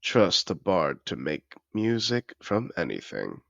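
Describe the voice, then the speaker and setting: Man acting as very deep, seductive aristocrat dragon. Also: huge pitch swings, slow pace, fairly bright tone, no room sound, muffled recording, heavily acted. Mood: teasing, seductive. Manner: courtly, formal, smooth-talking. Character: suave, seductive male dragon disguised as wealthy aristocrat. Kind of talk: romantic fantasy audio roleplay.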